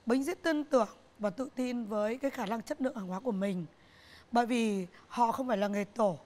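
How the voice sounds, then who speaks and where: A middle-aged woman, a business owner, answering interview questions on a TV talk show set in an earnest, halting way, often repeating herself. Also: on the high side, darkish tone. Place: TV studio set, indoors.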